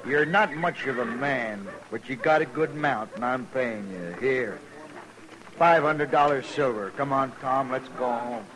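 An actor playing a proud, bitter American frontier horse owner in a 1950s radio western. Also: male voice, medium pitch, measured pace, lightly acted, poor audio. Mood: bitter, dignified. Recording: old radio recording, crowd behind.

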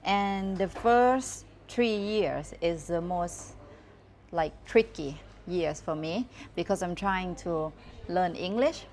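A woman's voice: on the low side, darkish tone, a little hiss or hum. A woman with a Thai accent, not a native English speaker, talking candidly as a guest photographer and often restarting her sentences. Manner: candid, halting, with many restarts and fillers. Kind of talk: casual podcast chat.

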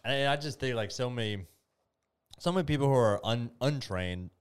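A man interviewing a gym member in a relaxed, friendly way, explaining things with frequent restarts. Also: mid-range voice, normal speed, smooth. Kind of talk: casual interview.

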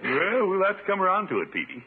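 An actor playing a pompous, blustering middle-aged uncle who chuckles and preaches in a 1950s radio sitcom. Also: male voice, high-pitched, big pitch swings, fast talker, a loud crowd, very noisy. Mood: preachy. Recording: muffled 1950s radio broadcast.

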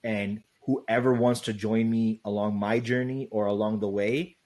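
Young adult man, casual interview guest, loud voice, rambling delivery with constant fillers. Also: on the low side, steady tone, measured pace. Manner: loose, rambling, heavy on "like" and "right?".

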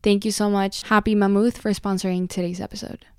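A woman's voice: mid-range voice, little pitch movement, a little fast, a little hiss or hum. A young woman with a Colombian accent hosting her own podcast, talking in a chatty, earnest, confiding way. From Mexico. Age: young adult. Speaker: podcast host. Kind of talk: casual solo podcast talk. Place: hotel room.

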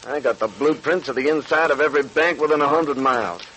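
Actor as a cocky, forceful 1940s radio gangster. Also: male voice, medium pitch, fast, close to an everyday voice. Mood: scheming, boastful. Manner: boastful, emphatic, slangy tough-guy talk. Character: cocky, swaggering male gangster bank robber craving money and nightlife. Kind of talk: 1940s radio crime drama acting. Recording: noisy vintage 1940s radio recording.

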